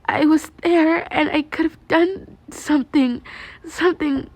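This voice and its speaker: A woman's voice: high-pitched, steady tone, unhurried, darkish tone, pitched above the performer's own voice. A woman voicing an anguished, guilt-ridden teenage girl in a choked, tearful delivery for a story. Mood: guilty, distraught.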